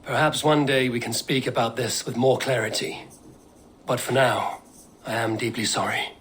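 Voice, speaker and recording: An actor playing a steady, resolute male viking clan leader in a video game, measured in his speech. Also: mid-range voice, a little hiss or hum, lightly acted. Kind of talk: video game cutscene acting.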